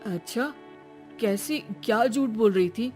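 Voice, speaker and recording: A woman voicing an easygoing, jobless young son in a cartoon story, speaking in a lowered voice. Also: a little slow, a little mellow, faint music behind, natural voice. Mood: offhand.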